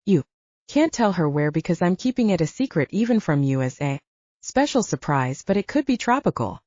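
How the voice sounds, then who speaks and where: A woman's voice: lowish voice, big pitch swings, normal speed, a little mellow, no echo, dull audio. A woman voicing a rich, confident man for an audiobook, persuasive and earnest, romantic with a teasing, conflicted side. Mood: playful, persuasive. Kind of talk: audiobook dialogue acting.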